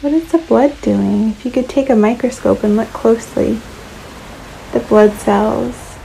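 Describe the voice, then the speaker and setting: A woman leading a guided relaxation meditation in a soothing, unhurried manner, leaving long pauses between short phrases. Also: on the high side, vocal fry, warm tone, slight background noise, low-quality recording.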